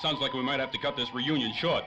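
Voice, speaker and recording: A man's voice: medium pitch, fast, lo-fi sound, lightly acted. A man playing an impatient, wisecracking, slangy tough guy in 1930s radio drama style. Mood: urgent. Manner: slangy, snappy. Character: hard-boiled, impatient, wisecracking male 1930s detective type. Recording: faint noise, light ambience.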